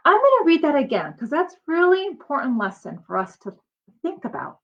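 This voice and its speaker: A woman reading a children's storybook aloud in an expressive way, drawing out her emphasis and pausing to explain things to young listeners, like a teacher. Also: high-pitched. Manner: animated, drawn-out emphasis, explains to children as she goes. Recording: dull lo-fi sound.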